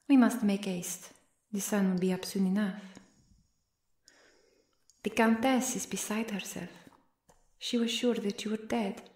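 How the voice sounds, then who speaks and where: Woman as a gravelly, scornful vampire. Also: mid-range voice, darkish tone, roomy sound, natural voice. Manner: cold, formal, disdainful, menacing. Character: stern, scornful female vampire, loyal servant of a countess. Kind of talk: ASMR roleplay audio drama.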